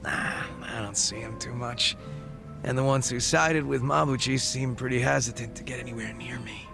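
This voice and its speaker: A man voicing a laid-back, wistful gang leader in his thirties in a video game, reflective, unhurried and casually profane, with a gravelly voice. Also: mid-range voice, steady tone, over music. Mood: nonchalant. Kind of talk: video game cutscene dialogue acting.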